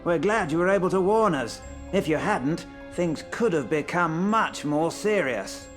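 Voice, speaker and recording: An actor playing a calm, kindly family friend in a video game, with a British accent. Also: male voice, fairly high pitch, a little slow. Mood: sympathetic. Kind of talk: video game cutscene acting. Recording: music behind the voice.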